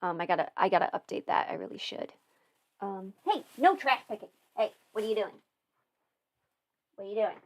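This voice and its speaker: A woman's voice: medium pitch, expressive, brisk, pauses often. A woman hosting a casual livestream, chatting informally and thinking aloud as she goes. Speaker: livestream host and voice performer answering viewer questions. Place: indoors at a desk at home.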